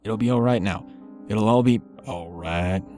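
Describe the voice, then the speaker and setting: A man voicing a cruel male kidnapper who taunts his victim in a slow, drawn-out way. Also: lowish voice, darkish tone, faint music behind, close to an everyday voice. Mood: mocking.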